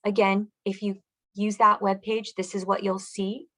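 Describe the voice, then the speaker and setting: A woman's voice: mid-range voice, little pitch movement, a little slow, a bit bright. A woman presenting as a school district director, walking listeners through web resources in an explanatory, instructional way.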